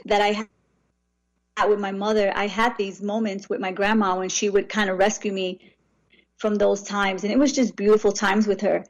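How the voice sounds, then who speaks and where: A woman in her thirties, from New York, answering interview questions in a relaxed, reflective way. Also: medium pitch. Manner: relaxed, reflective, frequent restarts. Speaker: author and guest.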